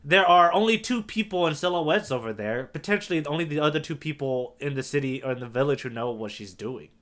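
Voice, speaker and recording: Male co-host, slightly high and forward bright tone, relaxed conversational podcast style. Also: a little fast. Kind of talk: casual manga discussion.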